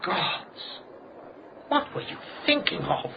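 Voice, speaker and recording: A man's voice: high-pitched, very animated, takes it slowly, creaky, muffled recording, background noise, heavily acted. A man playing a vain, evasive, womanising poet in a radio drama, theatrical and protesting. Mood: indignant.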